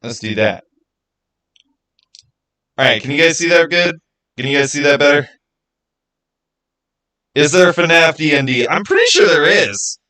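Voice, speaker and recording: A man hosting a livestream, answering viewers in casual, halting speech and thinking out loud. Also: on the high side, lively intonation. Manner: halting, thinking aloud with repeated phrases. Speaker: livestream host.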